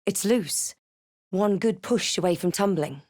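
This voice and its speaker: Woman voicing a slow, measured, wry adventurer heroine. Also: medium pitch, a little mellow, no room sound, studio quality, lightly acted. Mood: measured, musing. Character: snarky, composed adventurer heroine, adult woman spellcaster. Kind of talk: fantasy game voice lines and scripted narration.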